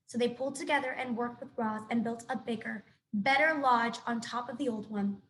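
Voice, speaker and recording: A teacher reading a children's novel aloud to her students in an expressive storytelling manner. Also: on the high side, normal speed, slight background noise, rough recording. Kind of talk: children's book read-aloud.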